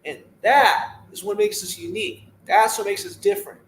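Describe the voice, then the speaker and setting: A man's voice: extremely high pitch, huge pitch swings, moderate pace, vocal fry, echoey room, slight background noise, poor audio. A man in his thirties talking casually as a podcast guest, earnest and repetitive as he gives a motivational message. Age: 30s. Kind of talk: casual interview talk.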